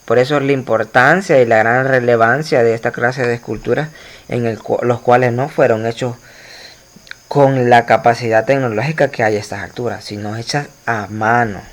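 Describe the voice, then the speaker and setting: A man's voice: mid-range voice, steady tone, normal speed. A man teaching an art history lesson to students over slides, explaining at length with frequent fillers and restarts. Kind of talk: recorded slide lecture.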